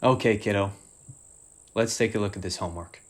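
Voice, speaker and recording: A man's voice: on the low side, a little fast, close to an everyday voice. A man playing an earnest superhero and loving father in a radio play, with music under the voice. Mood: cheerful, homely.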